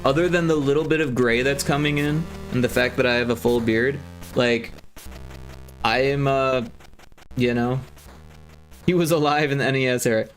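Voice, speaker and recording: A man's voice: medium pitch, steady tone, normal speed, soft background music, low-quality recording. A man in his forties, a game developer chatting casually on a livestream, rambling with lots of fillers and restarts. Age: 40s.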